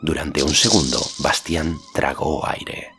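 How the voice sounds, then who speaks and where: A man narrates a storybook audiobook in a remarkably deep voice with a gravelly fry. The delivery is scripted narration, set over faint music. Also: huge pitch swings, slow, pushed and bright.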